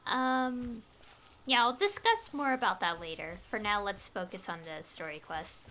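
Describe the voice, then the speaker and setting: A woman's voice: medium pitch, unhurried, bright, forceful tone, a little hiss or hum. A woman giving casual livestream game commentary, hesitant and thinking aloud. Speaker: game streamer.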